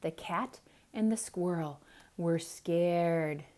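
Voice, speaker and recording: Slow, theatrical woman storyteller. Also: fairly low pitch. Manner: dramatic, expressive, speaking to young children. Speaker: children's storyteller. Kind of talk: theatrical picture-book read-aloud with explanatory asides.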